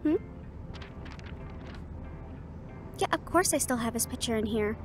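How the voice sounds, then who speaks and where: A woman's voice: fairly high pitch, expressive, fast talker, music in the background, close to an everyday voice. A woman voicing a snobby, catty teenage catgirl cheer captain in an audio roleplay, talking in a haughty, teasing and bossy way.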